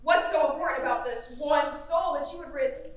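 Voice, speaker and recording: A woman's voice: high-pitched, moderate pace, lightly acted. A young woman acting a breezy, imperious ruler of the underworld in a live stage comedy, theatrical and flippant, in a theater. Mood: exasperated. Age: young adult. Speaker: student stage actor. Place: theater stage, recorded from afar. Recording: very echoey theater, distant mic.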